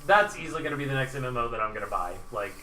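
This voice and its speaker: A man with a very bright, forceful voice and lively pitch hosts a loose gaming chat. He swears freely and leans hard on repeated phrases as he rants. The mic sounds distant in a noisy room. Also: racing. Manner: excitable, emphatic ranting, repeats phrases for stress. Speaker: podcast host. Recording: roomy, distant mic with background noise.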